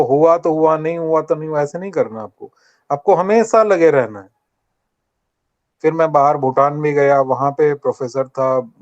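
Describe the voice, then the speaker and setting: A man's voice: medium pitch, a little mellow. An older man from Rajasthan giving students an earnest motivational talk and asking them to answer back. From Rajasthan, India. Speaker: guest speaker at a college workshop. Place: online video meeting. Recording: dull lo-fi online call audio.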